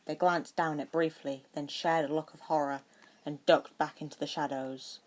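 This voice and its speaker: A woman's voice: deep voice, fairly even intonation, normal speed. A woman narrating a scripted story as an amateur audiobook reader.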